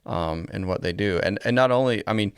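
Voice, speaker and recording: Male podcast co-host, low-pitched with vocal fry, conversational, recorded close and dry. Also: a little fast, dry recording. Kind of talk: casual conversational podcast discussion.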